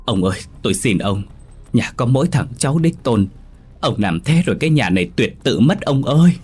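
A man's voice: mid-range voice, sing-song, fairly quick, darkish tone, husky, voice pushed up. Story role: distraught older woman, pleading, sobbing delivery, raised voice performed by a male narrator. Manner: wailing, pleading. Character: distraught, tearful older mother-in-law pleading for her grandson.

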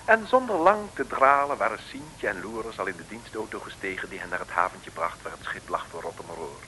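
A man's voice: sits low, expressive, a little fast, pushed and bright, rough recording. A man narrating a comic radio adventure serial as a wry, mock-solemn storyteller in a vintage scripted style. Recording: slightly hissy old radio recording.

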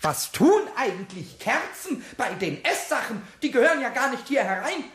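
A man voicing a small, cheeky, childlike kobold in a children's radio play, squeaky and excitable, muttering to himself in rhymes. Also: normal speed, exaggerated voice. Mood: bossy, busy.

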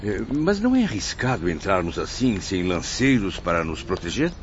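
Man playing a deep-voiced, wary Brazilian newcomer. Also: big pitch swings, normal speed. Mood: uneasy. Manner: hesitant, anxious questioning. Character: wary, judgmental male newcomer spirit questioning his guides. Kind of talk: Brazilian radio drama acting. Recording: muffled audio, faint background noise.

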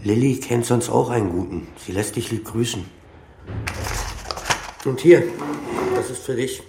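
An actor playing a warm, excitable friend on a prison visit in a radio drama, speaking casually. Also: male voice, mid-range voice, measured pace, natural voice. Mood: concerned, warm. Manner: casual, colloquial. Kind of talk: German radio drama dialogue. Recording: echoey room, faint noise and clicks.

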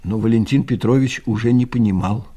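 A man's voice: medium pitch, a little fast, warm tone, breathy edge. A male narrator telling a satirical story in a dry, ironic manner, as in a scripted audio play.

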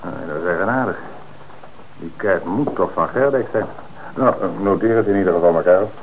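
An actor playing an authoritative police commissioner in an old radio play. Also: male voice, sits low, brisk, noisy background, lightly acted. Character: middle-aged male police commissioner in charge.

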